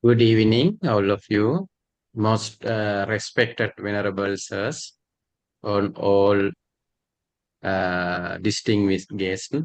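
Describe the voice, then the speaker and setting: Slow, halting man on a video call. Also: fairly low pitch, steady tone, rough recording. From Sri Lanka. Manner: halting, many hums and uh fillers. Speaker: panelist, university lecturer. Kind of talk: formal self-introduction on a video call.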